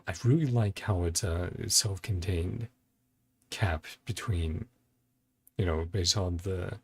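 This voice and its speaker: A man's voice: deep voice, creaky, darkish tone, breathy edge. A man giving casual reaction commentary as a video host, thinking aloud in a laid-back way with music behind him.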